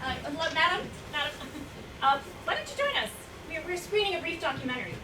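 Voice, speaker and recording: Woman acting a high-voiced, courteous event hostess, distant. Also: very animated, brisk. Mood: flustered, coaxing. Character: eager, courteous woman presenting a writer's life at an exhibition. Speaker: actor in a staged reading. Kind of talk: staged reading, formal welcoming speech. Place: indoor room at a staged reading. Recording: roomy, distant mic, noisy live hall recording.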